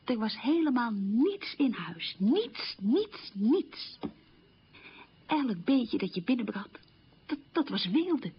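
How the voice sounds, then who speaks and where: A woman's voice: a little high, big pitch swings, slow, a little hiss or hum, full character voice. An actress playing a middle-aged woman who recalls wartime hunger in a plain-spoken, emphatic way, in a radio drama monologue. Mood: grave, bitter. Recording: dull, muffled old radio recording.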